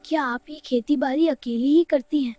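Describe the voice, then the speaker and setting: A woman's voice: high voice, steady tone, moderate pace, mellow, faint music behind, close to an everyday voice. A woman playing a polite, curious village schoolchild in an educational cartoon, asking questions carefully. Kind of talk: scripted children's educational cartoon dialogue.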